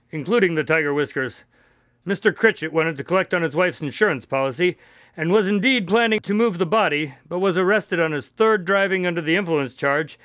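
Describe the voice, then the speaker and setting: A man's voice: sits high, fairly bright tone, clean tone, dry recording, studio quality. A man acting in a radio drama as an affable, inquisitive detective's sidekick who tells stories in an earnest, conversational way.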